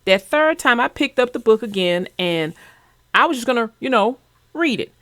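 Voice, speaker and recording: Lively high-pitched woman, close dry sound. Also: normal speed, no room sound. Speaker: children's story narrator and channel host. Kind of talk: casual chat about a children's book.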